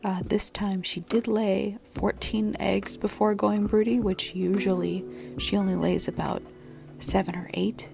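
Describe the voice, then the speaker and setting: Woman voice-over host, gravelly scratchy throat, soft half-whisper, lo-fi music clearly underneath. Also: mid-range voice, flat delivery, measured pace. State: scratchy, congested-sounding throat. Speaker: journaling vlogger. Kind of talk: soft-spoken voice-over narration.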